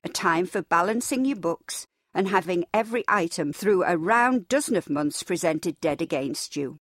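A woman's voice: lowish voice, expressive, normal speed, no room sound, studio quality. A woman playing a grumpy, miserly old man, stern and indignant, in a storybook reading. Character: grumpy, miserly old businessman, scornful of Christmas.

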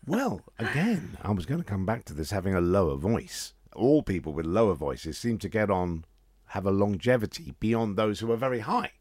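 A male radio host chats with his guest in an animated, curious way. His voice sits a little low and swoops widely in pitch. The studio sound is dry and close. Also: normal speed, no room sound. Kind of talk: relaxed interview chat.

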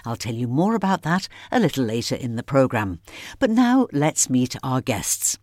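A radio presenter moves between scripted introductions and questions to guests. The pitch is mid-range and moves in a very animated way. The sound is close and dry, with little room. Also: normal speed, no echo.

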